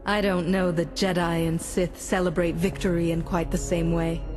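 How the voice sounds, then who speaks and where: A woman voicing a composed, courteous Jedi diplomat in a video game, measured and formal. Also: on the low side, little pitch movement, natural voice. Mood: calm. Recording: loud music under the voice. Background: cinematic game music.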